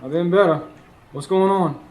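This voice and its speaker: A man's voice: high-pitched, moderate pace, darkish tone, close to an everyday voice. A man acting in a radio drama as a weary, haunted working-class man who narrates his troubles in folksy speech. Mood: troubled. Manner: folksy, colloquial. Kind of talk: radio drama first-person narration and dialogue. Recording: faint noise, live stage recording.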